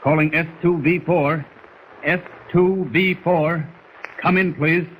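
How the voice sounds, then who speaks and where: An actor playing a dutiful navy radio operator in a 1940s radio serial, speaking in formal procedure. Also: male voice, on the high side, fairly even intonation, takes it slowly, muffled recording. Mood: concerned. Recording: faintly noisy vintage radio recording.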